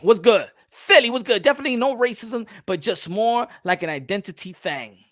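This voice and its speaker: A young adult man hosting a casual boxing livestream, animated and repeating his phrases as he reads out the chat. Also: high-pitched. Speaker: boxing channel host.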